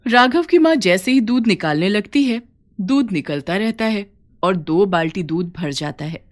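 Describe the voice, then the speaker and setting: A woman's voice: mid-range voice, lively intonation, measured pace. A woman narrating a scripted folk tale for a cartoon, as a storyteller.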